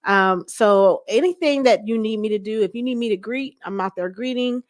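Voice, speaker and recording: A woman's voice: medium pitch, moderate pace. A woman speaking as an interview guest in a conversational, plainspoken and self-assured manner. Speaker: guest, pastor's wife.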